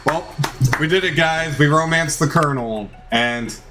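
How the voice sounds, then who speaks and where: A man's voice: on the high side, unhurried, noisy background. A man reading out a video game's story dramatically as he plays, stumbling now and then. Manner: theatrical, with occasional stumbles. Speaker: video game player narrating a playthrough. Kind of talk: dramatized reading of game text. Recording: music behind the voice. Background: game music.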